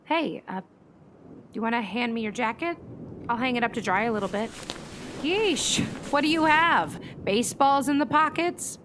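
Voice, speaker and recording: A woman's voice: on the high side, expressive, normal speed, a bit bright. A woman acting as an earnest, kindly television meteorologist in a naturalistic radio play, speaking articulately. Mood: friendly, concerned. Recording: faint background noise.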